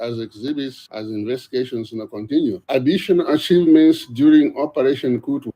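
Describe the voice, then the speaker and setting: A man's voice: mid-range voice, mellow, low-quality recording. A man reading a prepared statement formally, stumbling now and then.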